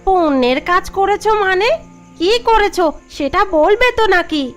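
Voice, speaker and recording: A sharp-tongued cartoon village wife fires off nagging questions, voiced by a woman. Her voice is very high and piercing. Soft music plays behind her. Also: animated, measured pace. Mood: scolding. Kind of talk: Bengali cartoon voice acting. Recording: music under the voice.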